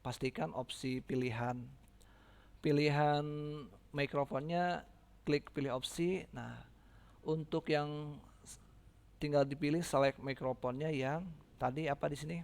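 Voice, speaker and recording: Creaky-voiced man giving a casual tutorial. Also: a little high, fairly even intonation, measured pace, long pauses. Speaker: teacher giving a software tutorial. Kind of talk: casual step-by-step screen tutorial.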